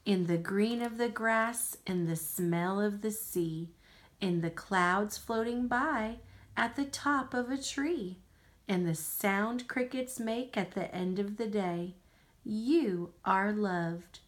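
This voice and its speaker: A woman with an American accent reading a picture book aloud to a young child, with unhurried, expressive phrasing. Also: mid-range voice.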